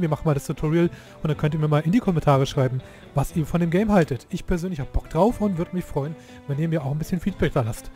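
A hoarse man giving casual, excitable commentary while playing a game, often exclaiming and swearing at what happens. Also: fairly high pitch. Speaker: let's play youtuber.

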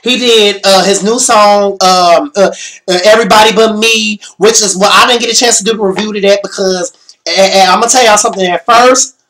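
A young man, slightly hoarse, excitedly recapping a concert in a casual bedroom vlog, swearing and repeating himself. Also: high-pitched, normal speed, poor audio. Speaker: vlogger. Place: small bedroom.

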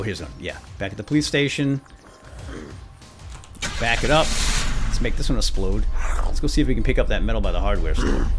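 A man hosting a let's play, commentating playfully and exclaiming often as he talks to himself and to viewers. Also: lowish voice. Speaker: gaming video host.

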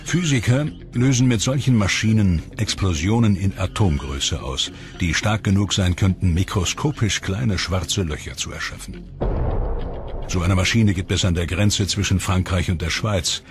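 A male documentary narrator with a very deep, low voice delivers measured, explanatory scripted lines. Clear music plays underneath throughout.

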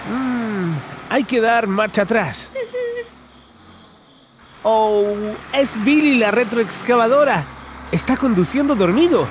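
A man's voice: very high-pitched, takes it slowly, long pauses, noisy background. A man narrating a children's cartoon in an exaggerated, singsong way, talking to the characters.

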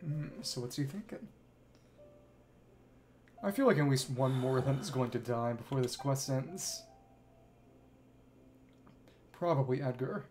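A woman's voice: a bass voice, moderate pace, pauses often, a little hiss or hum. A woman game streamer reading game dialogue aloud and adding casual commentary. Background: soft game music.

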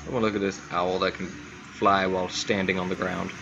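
A man's voice: low-pitched, level tone, brisk, creaky, lo-fi sound, noisy background. A man hosting a gaming video with casual, offhand commentary on what he is playing.

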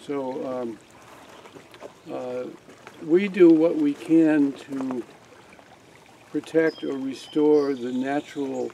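A man's voice: mid-range voice, steady tone, takes it slowly, creaky, pauses often, warm tone, lo-fi sound, a little hiss or hum. An older man giving a casual walking tour of his farm outdoors, talking to a group with many restarts and fillers. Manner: rambling, frequent restarts and fillers. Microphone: camera among a standing group.

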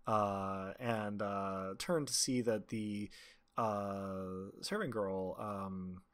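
A man narrating a tabletop game as game master, improvising with frequent pauses. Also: lowish voice, steady tone, a bit bright.

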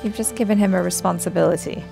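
Creaky-voiced woman reacting, game music behind. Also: on the low side, steady tone, quick pace. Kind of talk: casual reaction commentary.

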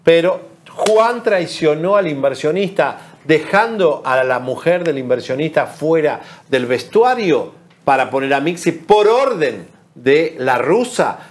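A man's voice: a little high, lively intonation, measured pace, a little hiss or hum. A middle-aged man with an Argentine accent, co-hosting a celebrity gossip show from a TV studio, scolding and sarcastic in heated commentary. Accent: Argentine Spanish. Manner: indignant, scolding, sarcastic asides. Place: bright TV studio set.

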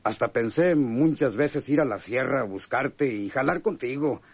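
An actor playing a chummy but bitter rural Mexican man from a poor family in a radio drama, talking in a halting, folksy way. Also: medium pitch, expressive, vocal fry, husky, no echo. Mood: chummy, eager. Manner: colloquial, halting, folksy storytelling. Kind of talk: radionovela acting.

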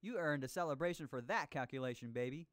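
An actor voicing an exuberant, jive-talking male disco cooking show host in an educational video game, with showy drawn-out exclamations. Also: fairly high pitch, natural voice. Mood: exuberant, groovy. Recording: disco music behind the voice.

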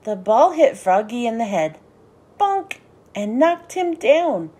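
A woman narrates a children's storybook in a high voice that swoops up and down. Her delivery is animated and deliberate, with a soft, mellow tone and an unhurried pace suited to young listeners. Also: husky. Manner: animated, sing-song, deliberate phrasing. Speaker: children's book read-aloud host.

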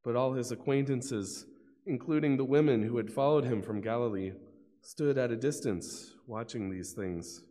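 A man's voice: mid-range voice, mellow. Solemn man narrating scripture, long reverb. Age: middle-aged. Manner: formal, deliberate phrasing. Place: church lectern, indoors. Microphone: gooseneck lectern microphone.

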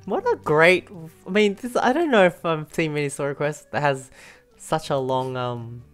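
A man's voice: a little high, lively intonation, moderate pace, fairly bright tone, smooth, soft background music. A young man streaming a game, giving casual, amused and enthusiastic reactions over the gameplay.

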